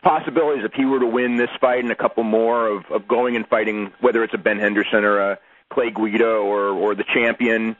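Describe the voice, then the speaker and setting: A man asking questions as a sports reporter, halting and rambling, repeating words as he goes. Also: fairly low pitch, fairly even intonation, slightly rough. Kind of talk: spontaneous press questioning. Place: conference call over phone. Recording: tinny telephone conference line.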